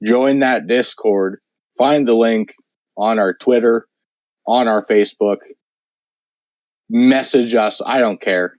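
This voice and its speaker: A man co-hosting a podcast in casual chat, rambling with many fillers, his voice worn and hoarse. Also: mid-range voice, slow, pauses often, a little mellow, no echo. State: losing his voice. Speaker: podcast host.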